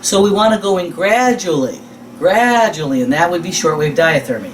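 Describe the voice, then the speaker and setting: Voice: medium pitch, expressive, normal speed. A teacher giving an informal instructional lecture, explaining things step by step in a conversational way and often checking that listeners follow. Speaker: teacher of a physical therapy exam prep class. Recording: distant mic with faint room noise.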